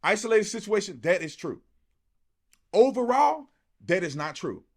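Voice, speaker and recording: A man's voice: sits high, big pitch swings, measured pace. A man giving an impassioned, colloquial opinion monologue, emphatic and repetitive, often throwing out rhetorical questions. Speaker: political commentator.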